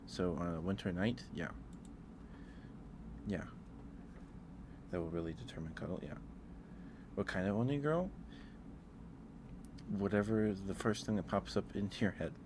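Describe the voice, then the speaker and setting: A man doing a casual, rambling ASMR livestream, speaking in a hesitant, musing way and repeating himself over clear background noise. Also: low voice, a little slow, pauses often. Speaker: live streamer.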